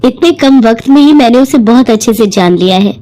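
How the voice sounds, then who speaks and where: A woman voicing a well-off, kindly and enthusiastic married lady, encouraging and expressive, in a cartoon moral story. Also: on the high side, brisk, close to an everyday voice, low-quality recording. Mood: insistent.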